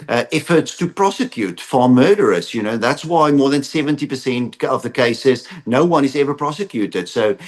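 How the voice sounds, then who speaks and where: A man with a South African accent giving explanatory interview answers, in halting phrases with repeated words. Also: medium pitch, animated. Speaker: interview guest, advocacy organisation representative.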